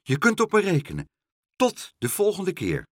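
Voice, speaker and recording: A plucky young boy from a children's tale, voiced by a man. He speaks earnestly, with a raised pitch that moves up and down freely. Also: sing-song, moderate pace, husky, no echo, clean studio sound. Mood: cheerful.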